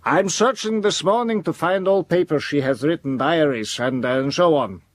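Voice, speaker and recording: An actor playing a grave, commanding learned mentor in an audio drama, speaking with theatrical, solemn emphasis. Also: male voice, fairly high pitch, moderate pace, no echo, close to an everyday voice. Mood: reassuring, grave.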